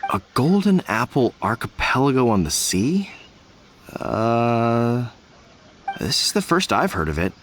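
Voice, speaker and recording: A male fantasy-game city guard, polite and apologetic. The voice is earnest and hesitant but animated, with faint ambience behind it. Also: mid-range voice, a little slow, a little hiss or hum. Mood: puzzled. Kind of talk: anime game voice acting.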